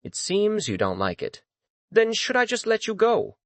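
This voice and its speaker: A calm, teasing young lord with power over others, performed by a man. He speaks with casual mock politeness and a lilting, animated pitch, recorded close and dry for a fantasy audiobook. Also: normal speed, no echo, heavily acted. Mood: mock cheerful. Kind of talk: dramatized audiobook.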